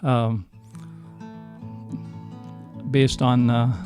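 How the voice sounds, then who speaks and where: A middle-aged man from Canada telling a story casually as a guest, halting and often circling back to rephrase. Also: medium pitch, flat delivery, a little mellow, soft background music. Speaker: singer-songwriter guest. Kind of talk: casual storytelling in a podcast interview.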